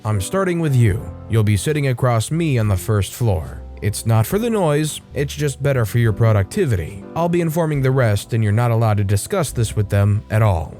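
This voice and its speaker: A man's voice: medium pitch, expressive, moderate pace, hardly any pauses, warm tone, soft background music, lightly acted. A man voicing a stern, condescending male company boss who lectures an employee. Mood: scolding. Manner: scolding, condescending.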